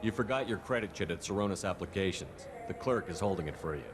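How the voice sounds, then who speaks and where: An actor playing an assertive military investigator in a video game, direct and firm. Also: male voice, low voice, expressive, fast. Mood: matter-of-fact.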